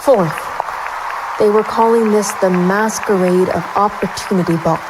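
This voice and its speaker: A woman's voice: mid-range voice, steady tone, unhurried, crowd noise, background noise, close to an everyday voice. A woman playing a wary, bitter private investigator, narrating a noir audio drama in the first person. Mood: wary, cynical.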